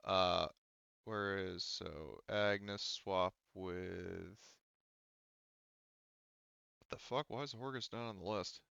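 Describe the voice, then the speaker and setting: Smooth low-voiced man running a game. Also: slow pace, long pauses, a bit bright, dry recording, lo-fi sound. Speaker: game master. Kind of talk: casual tabletop game narration.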